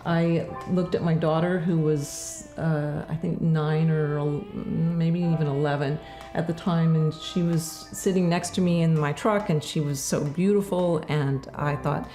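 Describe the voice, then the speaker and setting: A middle-aged woman, an artist, talking in a casual interview, reflective and halting with many fillers and restarts. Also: low-pitched, fairly even intonation, mellow, faint music behind.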